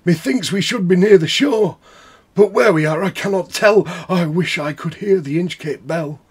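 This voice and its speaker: A man voicing a fearful sailor in a ballad, calling out in a loud, strained, high voice. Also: moderate pace, husky. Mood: anxious. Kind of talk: dramatic ballad recitation.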